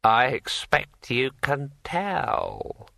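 A man voicing a dead old man in a storybook, brittle and aged, rambling conversationally in halting, drawn-out phrases. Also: medium pitch, huge pitch swings, gravelly, bright, forceful tone, no echo, exaggerated voice. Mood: musing, conversational. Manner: slow, halting, drawn-out delivery.

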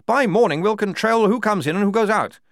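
A man voicing a blustering, hearty young army major for an audiobook, booming and jovial, tacking "eh?" onto his lines. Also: brisk, dry recording, studio quality. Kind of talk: audiobook character voice.

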